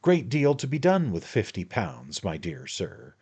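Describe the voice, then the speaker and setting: Man voicing a high, bustling, wheedling little lawyer; ingratiating and fussy. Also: huge pitch swings, unhurried, a little mellow, husky, exaggerated voice. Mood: coaxing. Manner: ingratiating, wheedling, repeats "my dear sir".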